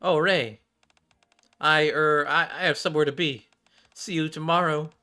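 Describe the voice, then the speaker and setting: Man acting a bright, earnest shy schoolgirl. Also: a little high, fairly even intonation, a little slow, pitched above the performer's own voice. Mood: flustered, evasive. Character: shy, earnest schoolgirl who loves fashion design.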